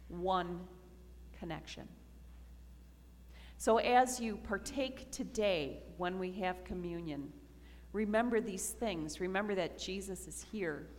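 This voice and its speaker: A woman pastor giving a slow, earnest communion sermon to her congregation in a church. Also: medium pitch. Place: church sanctuary. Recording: reverberant church hall.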